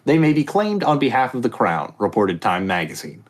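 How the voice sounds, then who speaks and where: A man's voice: mid-range voice, very animated, normal speed. A man hosting a casual comedy podcast quiz, reading statements aloud and joking off on tangents. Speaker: quiz host.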